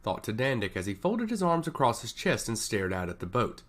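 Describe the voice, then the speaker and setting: Storyteller with a highly animated delivery. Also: brisk. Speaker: narrator reading a fantasy story. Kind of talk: scripted narration of fantasy fiction with dialogue.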